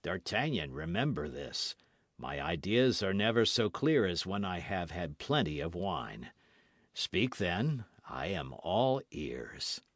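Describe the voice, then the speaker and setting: A man voicing an aloof, world-weary male musketeer who drinks, speaking in a measured, languid way. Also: deep voice, sing-song, no room sound, clean studio sound, exaggerated voice.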